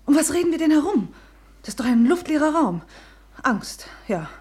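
An actress playing an outspoken, reproachful younger sister in a German radio play, with an insistent manner. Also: female voice, high-pitched, very animated, moderate pace, darkish tone. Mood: accusing. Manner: insistent, accusing.

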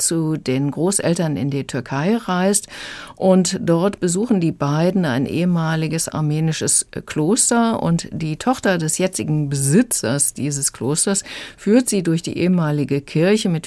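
A woman's voice: sits low, a little mellow, no room sound. A woman in the role of book reviewer, explaining a story in a radio discussion. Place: dry radio studio.

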